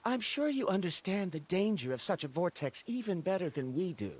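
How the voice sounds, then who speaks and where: A man's voice: high-pitched, big pitch swings, brisk, slight background noise. A man voicing a frank, stubborn, learned scholar in a video game, formal, precise and argumentative. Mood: analytical. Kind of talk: video game character acting.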